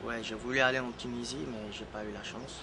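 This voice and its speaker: A man's voice: mid-range voice, steady tone, fairly quick. Hesitant man over traffic noise. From Guinea. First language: Fula. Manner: hesitant, repeats words, frequent "tu vois" fillers. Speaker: singer being interviewed. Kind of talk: casual interview chat.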